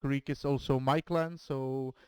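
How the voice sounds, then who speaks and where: Czech-accented male commentator, smooth voice. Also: medium pitch, fairly even intonation, unhurried, a little mellow, no room sound. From Czech Republic. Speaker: esports match commentator. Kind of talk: streamed game commentary, reading a dramatic intro and team roster.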